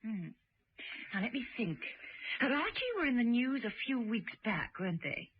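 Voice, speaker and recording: An actress playing a brisk, clever, self-assured secret agent in a radio drama, composed and knowing. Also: female voice, on the high side, animated, lightly acted. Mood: informative. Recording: dull, noisy old radio recording.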